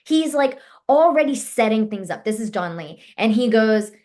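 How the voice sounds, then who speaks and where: Animated woman telling a story. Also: fairly high pitch, moderate pace. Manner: animated, acts out quoted dialogue in her stories. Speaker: actress and martial artist, interview guest. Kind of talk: casual storytelling in an interview.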